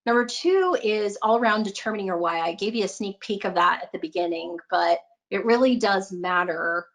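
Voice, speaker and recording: A woman's voice: mid-range voice, lively intonation, normal speed. A woman giving a conversational motivational talk as a coach, earnest and emphatic, repeating phrases for emphasis and often checking in with her listeners. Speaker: money mindset coach presenting a talk.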